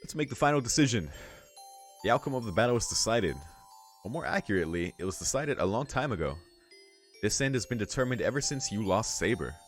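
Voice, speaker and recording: A man reading a visual novel aloud as a let's play host, in measured narration with casual, slangy asides. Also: on the low side, big pitch swings. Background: music.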